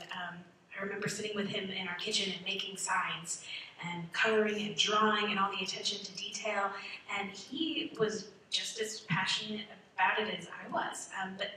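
A woman speaks with passion and emphasis in a bright, forceful voice, sharing memories as a panelist. She sounds distant in a very echoey room with some background noise. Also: mid-range voice. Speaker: teacher panelist. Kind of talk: panel discussion, personal recollection. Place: large furnished meeting room.

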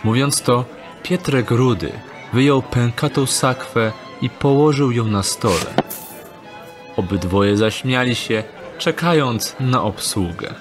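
A man's voice: medium pitch, takes it slowly, over music. A man narrating a fantasy audio drama from a script as storyteller.

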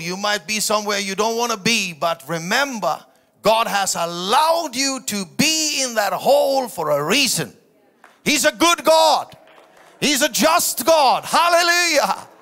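A middle-aged man, a native German speaker, preaching an emphatic sermon from a stage into a handheld microphone with dramatic swings in volume. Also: high-pitched. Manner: emphatic delivery with dramatic swings from hushed asides to shouted phrases. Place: large church auditorium stage before a seated audience.

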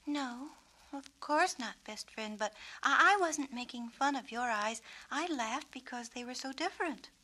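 Lady doing a little storybook girl, high bright voice, sincere n kinda halting. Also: animated, heavily acted. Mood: thoughtful, gentle. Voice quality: girlish. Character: earnest young Japanese-American girl, gentle and sincere. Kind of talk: storybook character reading.

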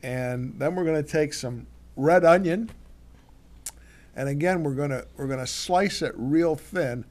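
An older man co-hosting a home cooking show, talking casually and haltingly as he demonstrates a recipe in his kitchen. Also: fairly high pitch, darkish tone. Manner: rambling, halting with many fillers and restarts. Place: home living room, then kitchen.

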